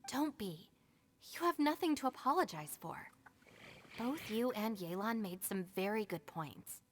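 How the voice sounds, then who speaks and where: A woman voicing a level-headed, long-suffering young female gang deputy in a video game, matter-of-fact and measured. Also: mid-range voice, animated, slight background noise, natural voice. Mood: calm, reassuring. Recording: music under the voice.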